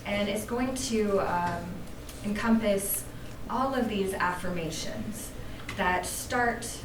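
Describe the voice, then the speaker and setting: A woman leading a workshop, speaking gently and invitingly to a group, with fillers. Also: mid-range voice, slow. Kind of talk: guided workshop talk with meditation. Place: room with a live audience. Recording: roomy, distant mic with room noise.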